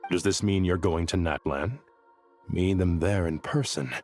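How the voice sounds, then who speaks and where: A man's voice: low-pitched, moderate pace, a little mellow, soft background music. A man giving casual gameplay commentary, pondering aloud as a gamer.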